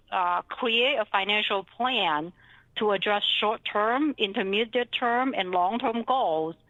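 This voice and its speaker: Middle-aged female financial advisor, Chinese accent, bright forceful voice, conversational interview delivery on slightly lo-fi, dry audio. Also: medium pitch, no echo. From China. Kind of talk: conversational interview, telling her career story.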